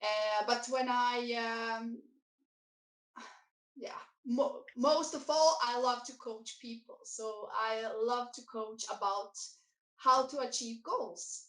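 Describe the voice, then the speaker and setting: High-voiced young woman coach, echoey. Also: slow, fairly bright tone. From former Yugoslavia. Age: young adult. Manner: hesitant, many fillers, searching for words. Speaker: life and business coach. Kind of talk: interview answers. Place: studio.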